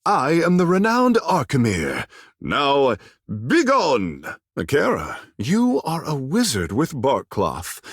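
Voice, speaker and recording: An actor playing a vain, flamboyant water-shaping artist in a video game, with a theatrical, lofty and formal way of speaking. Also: male voice, mid-range voice, fairly bright tone, slightly rough, no echo, studio quality, heavily acted. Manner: theatrical, lofty, formal island-noble phrasing.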